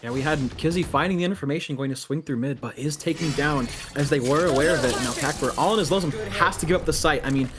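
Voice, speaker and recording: A man giving live play-by-play commentary on a video game match, excitable on big plays and analytical between them. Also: on the high side, fast, few pauses, background noise.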